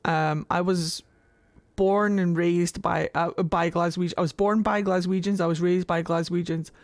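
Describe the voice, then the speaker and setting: A woman's voice: fairly low pitch, steady tone, moderate pace, dry recording. A young Scottish woman chatting casually and rambling while she streams a video game, laughing often. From Scotland. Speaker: livestreamer. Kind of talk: casual chat while gaming.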